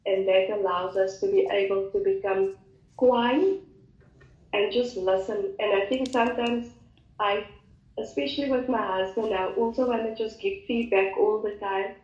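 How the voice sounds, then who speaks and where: A woman's voice: medium pitch, fairly even intonation, normal speed, darkish tone. A woman speaks reflectively about faith, now and then searching for the right word. Her voice echoes in a roomy space. The audio is dull. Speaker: co-host. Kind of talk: casual faith discussion.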